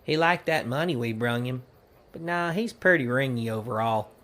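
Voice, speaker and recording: An actor playing a cheerful, simple-minded young outlaw sidekick who rambles guilelessly in a folksy rural American Western drawl, in a comedy audio drama. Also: male voice, mid-range voice, normal speed, a bit bright, natural voice.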